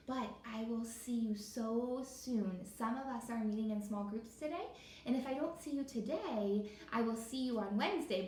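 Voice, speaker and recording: Higher-voiced woman teacher in an echoey room. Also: a little mellow. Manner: encouraging, addresses children directly with questions. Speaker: elementary school teacher. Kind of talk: interactive read-aloud lesson for young children. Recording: echoey room with faint noise.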